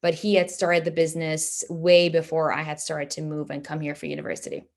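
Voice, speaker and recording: A woman's voice: sits low, little pitch movement, a little fast, gravelly. A woman in her thirties from Dubai, speaking in a plain, explanatory way as she answers interview questions. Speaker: interview guest, family business executive.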